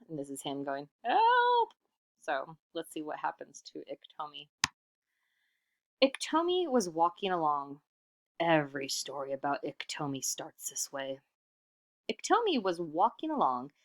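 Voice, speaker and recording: A woman reading a children's picture book aloud expressively, pausing for casual comments and questions. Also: deep voice. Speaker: storybook reader.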